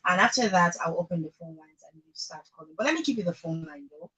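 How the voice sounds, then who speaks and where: Female radio host, Nigerian accent, informal and emphatic, bright tone with some rasp. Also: lowish voice, expressive, fast, low-quality recording. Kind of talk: chatty live radio presenting.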